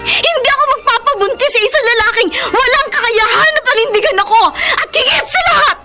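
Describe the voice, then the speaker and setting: High bright young student daughter. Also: female voice, a little fast, soft background music. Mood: indignant, tearful. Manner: emotional, emphatic, pleading delivery. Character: earnest young student daughter, wounded and defiant. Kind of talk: Tagalog radio drama acting.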